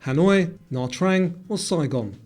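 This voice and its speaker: A man narrates a scripted quiz in a dark, mellow tone. His voice sits a little high for a man, and music plays behind him. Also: expressive, slow pace. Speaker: quiz presenter. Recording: music bed under the voice.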